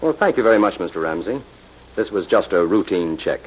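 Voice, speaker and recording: An actor playing an earnest, determined crime-fighting investigator in the manner of 1940s radio drama. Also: male voice, low voice, lively intonation, a little fast. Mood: businesslike. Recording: noisy, dull old radio recording.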